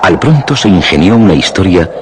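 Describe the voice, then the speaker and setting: A man narrating a scripted radio drama in a literary, dramatic way with measured phrasing. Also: deep voice, big pitch swings, noisy background. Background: music.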